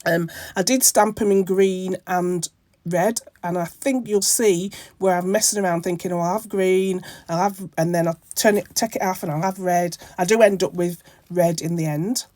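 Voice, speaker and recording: A woman narrates her crafting project in a loose, rambling way, full of ums and restarts. Her voice is croaky from a cold and sits low for a woman. The sound is dry and close, with no room around it. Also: moderate pace, mellow, dry recording. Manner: rambling, many ums and self-corrections. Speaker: craft channel host. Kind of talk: casual crafting tutorial narration.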